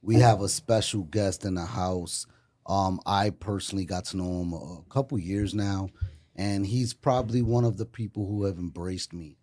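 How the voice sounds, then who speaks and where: A man's voice: low-pitched, fairly even intonation, dark tone. A man hosting a podcast, introducing his guest in a loose, rambling way. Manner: loose, rambling, fills pauses with "you know" and "um". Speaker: podcast host. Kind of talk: casual chat.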